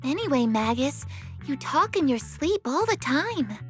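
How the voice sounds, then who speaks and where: High-voiced young female soldier. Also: big pitch swings, a little slow, faint music behind. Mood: playful. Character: earnest, cheeky young female squad soldier. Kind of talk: video game voice acting.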